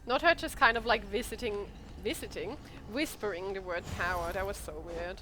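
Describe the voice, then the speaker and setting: A woman's voice: mid-range voice, normal speed, pushed and bright, slight background noise. A young woman streamer giving casual, playful, slangy commentary on a game she is playing. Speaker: gaming streamer. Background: game music.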